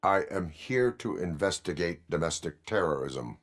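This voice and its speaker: An actor playing a stoic cyborg police officer in a video game, speaking in a deadpan, formal, clipped way. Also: male voice, low-pitched, moderate pace.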